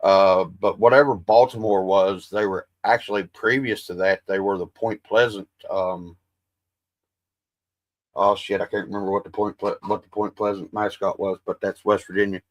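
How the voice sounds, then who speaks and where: A man's voice: low voice, fairly even intonation, normal speed, no room sound. A man hosting a livestream, chatting casually with his viewers in a rambling, profane way. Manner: self-described soothing voice. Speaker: livestream host. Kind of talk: casual stream chat.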